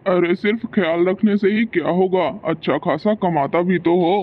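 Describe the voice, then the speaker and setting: A man's voice: high-pitched, normal speed. Man voicing older father bird, muffled sound. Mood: businesslike. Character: older father bird, practical, status-minded patriarch. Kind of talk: Hindi cartoon story dialogue.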